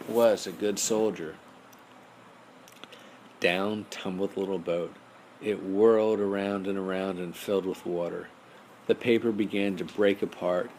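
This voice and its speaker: A man narrating a children's bedtime story in a measured storybook style, as an audiobook reader. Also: lowish voice, darkish tone. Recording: noisy amateur home recording.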